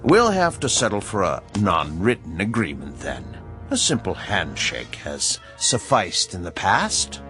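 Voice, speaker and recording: A man's voice: deep voice, wildly swooping pitch, measured pace, pushed and bright, faint music behind, full character voice. A man acting in a radio drama as a mysterious, unflappable agent of balance, formal and politely ominous. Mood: coaxing, ominous. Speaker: actor.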